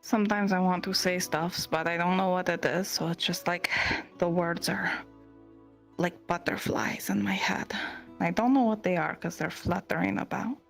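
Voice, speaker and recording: A woman's voice: lowish voice, fairly even intonation, normal speed, gravelly, faint music behind. A woman roleplaying a flighty, carefree young woman in a fantasy game, talking in a casual, rambling way. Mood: dreamy, amused. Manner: rambling, giggly, trailing hesitant phrasing.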